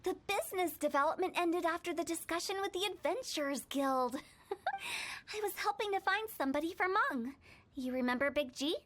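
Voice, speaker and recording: A woman's voice: very high-pitched, a little fast, fairly bright tone. A woman voicing a playful, teasing young woman who directs a funeral parlor, with a giggly, breezy manner, in game audio. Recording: game audio with background music.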